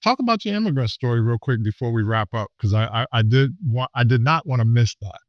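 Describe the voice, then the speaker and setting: A man from Miami hosting a podcast interview in a casual, halting way with many fillers and restarts. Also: mid-range voice, lively intonation, dry recording, highs cut off. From Miami, USA. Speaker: podcast host.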